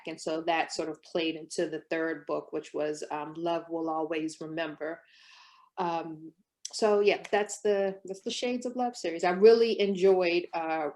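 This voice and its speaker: A middle-aged woman romance author answering interview questions in a reflective way, often hedging with "um" and "sort of". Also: fairly low pitch. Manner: reflective, many ums and sort ofs.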